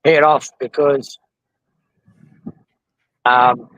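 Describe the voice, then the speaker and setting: An Australian man hosting a casual live stream outdoors, rambling with lots of ums while his voice goes hoarse. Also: medium pitch, flat delivery, very slow, a bit bright, no room sound, lo-fi sound. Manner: rambling, frequent ums and restarts. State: losing his voice from the heat. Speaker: model railroading channel host. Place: outdoors at a roadside truck convoy.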